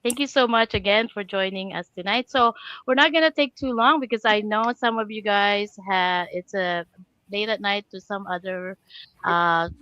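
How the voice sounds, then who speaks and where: A woman's voice: medium pitch, normal speed, pushed and bright, clean tone, no room sound. An older woman hosts a live online panel from home and greets each guest by name. Her voice comes through a dry, slightly muffled stream, and she stumbles and restarts now and then as she reads out her questions. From Canada.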